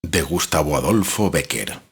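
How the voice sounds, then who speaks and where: Deep, very raspy actor as gothic narrator. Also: male voice, expressive, moderate pace, full character voice. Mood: brooding, ominous. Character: brooding gothic writer narrating a chilling old legend. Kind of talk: scripted dramatic narration.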